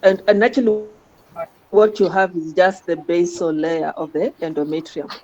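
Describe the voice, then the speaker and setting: Mellow, low-voiced woman teaching, dull audio. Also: steady tone, normal speed. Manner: ends statements with "huh?" and "right?" tags. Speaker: radiology teacher guiding a trainee. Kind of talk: informal teaching commentary on scan images.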